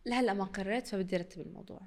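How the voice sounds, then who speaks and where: A woman's voice: medium pitch, racing, darkish tone. A young woman from Iraq with a mixed Syrian and Iraqi Arabic accent, chatting as a podcast guest and laughing often. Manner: laughs often, mixes dialects. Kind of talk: casual interview chat.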